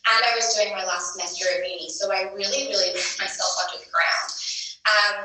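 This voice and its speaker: A woman's voice: mid-range voice, pushed and bright. A woman in her twenties with a mixed British and Australian accent gives a candid, self-deprecating personal talk to an audience in a conversational way. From grew up in the UK and Sydney, Australia. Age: 20s. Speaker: speaker at a business retreat. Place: large room before an audience of two hundred. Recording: echoey room, picked up from far off.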